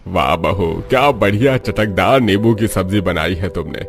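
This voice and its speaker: A man's voice: low voice, moderate pace, faint music behind. A man voicing a hearty older father-in-law in a cartoon story, expansive and appreciative in manner. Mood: delighted.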